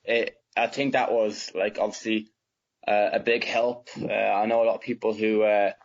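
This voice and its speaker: A young man with a Dublin Irish accent talks in a low voice, pausing often with fillers and restarting his sentences. The audio sounds dull and lo-fi. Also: level tone. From Ireland. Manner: hesitant, many fillers and restarts. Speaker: footballer guest. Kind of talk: casual interview answers.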